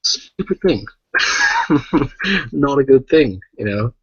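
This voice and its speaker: A man's voice: mid-range voice, sing-song, slow, slightly rough, no echo, lo-fi sound. A British man co-hosting a casual podcast, chatty and rambling, swearing freely. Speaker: podcast co-host.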